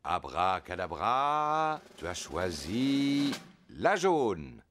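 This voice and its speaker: A man's voice: on the low side, big pitch swings, very slow, fairly bright tone, slight background noise, exaggerated voice. A man voicing a jolly father pig playing magician in a children's cartoon dub, with a theatrical, playfully grand delivery.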